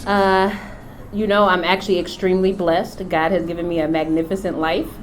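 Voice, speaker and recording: Low-voiced woman, thoughtful testimony. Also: flat delivery, faint music behind, rough recording. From United States. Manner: unhurried, thoughtful, many filler pauses. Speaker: company founder and CEO. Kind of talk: reflective personal testimony.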